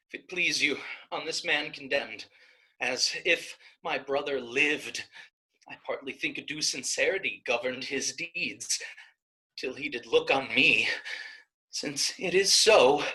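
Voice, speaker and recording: A man's voice: medium pitch, bright, forceful tone, heavily acted, low-quality recording. A man playing a grave, principled young woman who speaks earnestly in a Shakespearean stage reading. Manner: deliberate, earnest.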